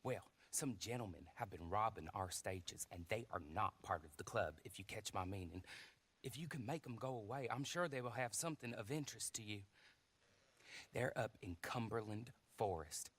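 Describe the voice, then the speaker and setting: Gloomy old west station clerk, lowish voice, super polite n wordy, kinda smooth talker, video game cutscene. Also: male voice, expressive, moderate pace, a bit bright, exaggerated voice. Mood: sly, polite. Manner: wordy, mock-courteous, hesitant.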